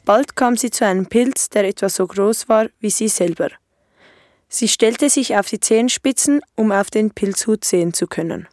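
A woman's voice: medium pitch, normal speed, a little mellow, no echo. A woman reading scripted literary narration as a storyteller.

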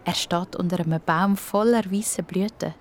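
A woman's voice: fairly low pitch, normal speed, some chatter behind, slight background noise. A woman with a Swiss accent narrating a scripted children's story.

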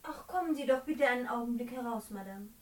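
A woman's voice: a little high, fast talker, close to an everyday voice. An actress playing a pert, world-weary saleswoman in a 1960s radio play, blunt and chatty under her shop manners. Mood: resigned. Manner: polite to customers, blunt and chatty otherwise.

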